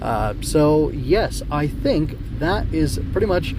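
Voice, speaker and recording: Male podcast host, slightly high voice, digressive and unscripted, faint vehicle-like noise behind. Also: very animated, takes it slowly. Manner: rambling, self-correcting, digressive. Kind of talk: unscripted solo podcast talk.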